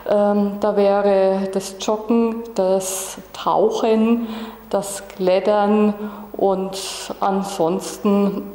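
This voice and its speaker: Slow, warm-toned middle-aged woman. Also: mid-range voice, flat delivery, roomy sound, big-room sound. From Bavaria, Germany. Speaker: nursing student contestant. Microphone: handheld mic.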